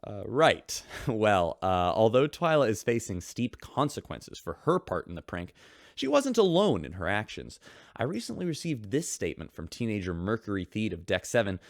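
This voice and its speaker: A man hosting a radio show in scripted narration, with smooth broadcaster delivery. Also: on the low side, huge pitch swings, normal speed, dry recording, clean studio sound.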